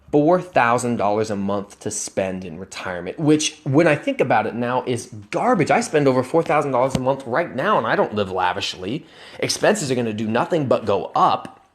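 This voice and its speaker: Young man in his twenties, mid-pitched voice, casual earnest vlogger who turns emphatic. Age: 20s. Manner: earnest, emphatic, building to bursts of conviction; conversational with restarts and self-corrections. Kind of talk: personal finance vlog over slides.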